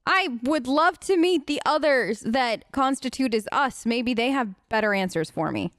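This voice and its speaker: High-voiced woman doing playful game commentary. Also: animated, normal speed, fairly bright tone, no room sound, clean studio sound. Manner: skeptical, chatty, playfully questioning. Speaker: let's play host. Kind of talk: casual role-play game commentary, improvised in-character dialogue. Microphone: close, dry microphone.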